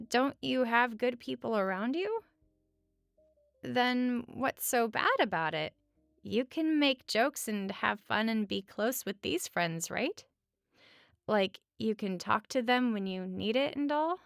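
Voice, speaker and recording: A woman acts out a wry, caring female roommate in a comfort audio roleplay. Her voice has a strong gravelly fry and sits close and dry, with faint music underneath. She talks casually, teasing and pausing as if feeling her way. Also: on the high side, no room sound, heavily acted. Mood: teasing, probing. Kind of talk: conversational comfort audio roleplay at regular speaking volume.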